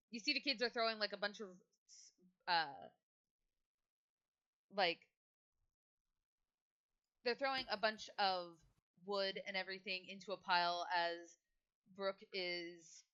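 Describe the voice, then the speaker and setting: A woman's voice: on the low side, bright, forceful tone, clear, resonant tone, dull audio. A woman improvising narration for a tabletop fantasy game.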